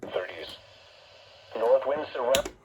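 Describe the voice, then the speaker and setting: Male weather radio announcer, medium pitch, forecast bulletin played through a noisy radio speaker. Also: expressive, takes it slowly. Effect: heard through a radio speaker.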